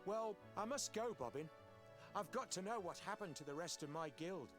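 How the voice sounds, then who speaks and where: A young blacksmith ghost from a fantasy game, talks in a high, theatrical voice that swings up and down. He sounds wry and put-upon, in a long reverberant space with music behind. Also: expressive, moderate pace. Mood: earnest. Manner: sardonic, aggrieved, theatrical.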